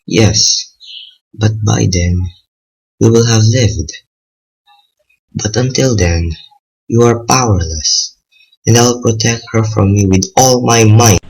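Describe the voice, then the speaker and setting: A man as slow, husky, defiant young lover. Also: lowish voice, exaggerated voice, rough recording. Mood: resolute. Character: Youth, devoted, defiant young male lover. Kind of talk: radio drama acting.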